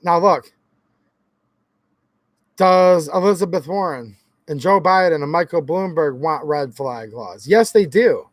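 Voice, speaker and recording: A hoarse man hosting his own gun channel, talking earnestly and casually straight to his viewers, often calling them guys. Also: on the high side, animated, a little slow, long pauses, no echo. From Michigan. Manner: earnest, talks directly to viewers as guys, repeats himself. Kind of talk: casual talk to camera.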